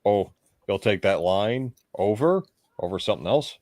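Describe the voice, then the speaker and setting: A man's voice: lowish voice, lively intonation, normal speed, darkish tone, no room sound. A man co-hosting a podcast in casual chat, joking and laughing often. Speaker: podcast co-host.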